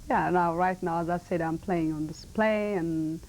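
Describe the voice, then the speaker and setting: A woman's voice: fairly low pitch, steady tone, darkish tone, a little hiss or hum, rough recording. Ethiopian-accented woman, earnest and emphatic. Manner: earnest, emphatic, with halting non-native phrasing. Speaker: model and actress. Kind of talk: TV interview. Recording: 1990 TV broadcast.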